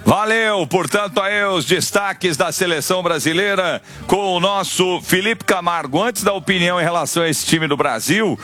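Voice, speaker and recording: A man's voice: sits high, normal speed, sharp tone, soft background music. A Brazilian man hosting a live sports radio show.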